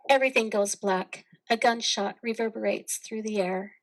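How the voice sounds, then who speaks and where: A woman's voice: mid-range voice, moderate pace, rough recording. A woman reading stage directions as scripted narration for a play.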